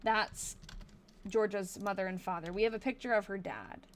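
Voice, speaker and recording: A woman from Massachusetts hosting a live stream, reading an old diary aloud in a casual way, thinking out loud and slowing down over hard words. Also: medium pitch, slight background noise.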